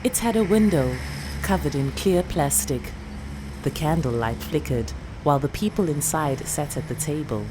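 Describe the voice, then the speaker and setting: A woman with a South African accent reading a literary short story in a dramatic, deliberate, expressive way. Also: low-pitched, background noise.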